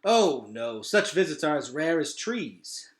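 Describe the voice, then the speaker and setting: A man reading as old underground elder, courteous, measured, grave, storybook read-aloud, lively intonation, roomy echo. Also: a little high. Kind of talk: children's novel read-aloud.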